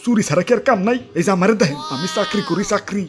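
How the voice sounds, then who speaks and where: A man's voice: high-pitched, animated, very fast, slight background noise. A man voicing a boastful, easily flustered salaried fellow in a comic cartoon, stammering and exclaiming with a Bangladeshi accent. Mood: indignant, boastful. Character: boastful, flustered salaried man, stingy but self-admiring.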